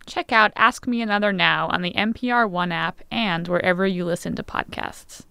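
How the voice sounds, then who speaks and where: A woman's voice: lowish voice, moderate pace, creaky, pushed and bright. A young woman reading a scripted radio promo.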